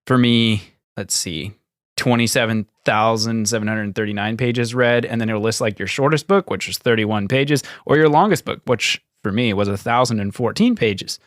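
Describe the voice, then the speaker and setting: A young man with a lowish voice, co-hosting a podcast in an easygoing, amused tone. The recording is dry and close, with no echo around him. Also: normal speed. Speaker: podcast co-host. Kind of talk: casual podcast chat.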